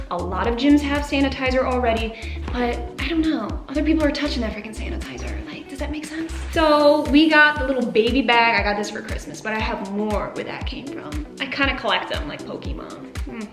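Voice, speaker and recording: Young woman vlogger, chatty and slangy, higher voice, echoey kitchen with music underneath. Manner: bubbly, slangy, talks straight to camera. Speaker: fitness vlogger. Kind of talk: casual chatty product rundown. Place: bright indoor home kitchen.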